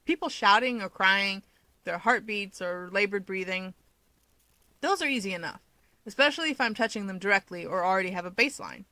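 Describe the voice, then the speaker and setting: A woman's voice: mid-range voice, expressive, fairly quick, pushed and bright, no room sound. A woman voicing an upbeat, self-assured young male hero with wings, explaining things chattily in an audio story. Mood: matter-of-fact. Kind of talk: fan fiction audiobook character dialogue.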